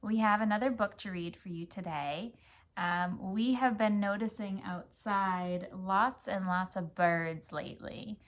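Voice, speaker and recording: Slow-paced American woman reading to children. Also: mid-range voice. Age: adult. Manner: unhurried, prompting a young child with questions. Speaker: teacher reading a picture book aloud. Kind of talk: children's story read-aloud with gentle chat.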